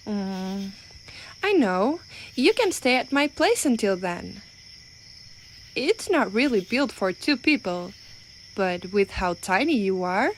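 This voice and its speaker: A woman playing a clumsy, well-meaning giantess in an ASMR roleplay, rambling and hesitant but gently reassuring. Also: on the high side, a little hiss or hum.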